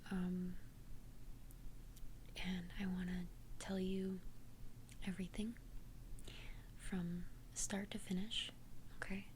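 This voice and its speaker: Casting: woman playing immortal young female vampire, tender and hesitant, unhurried whispered ASMR confession, slight hiss. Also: on the low side, flat delivery, rough recording. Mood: earnest, nervous. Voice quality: breathy unvoiced whisper. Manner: hesitant, halting, earnest confession. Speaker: ASMR roleplay performer.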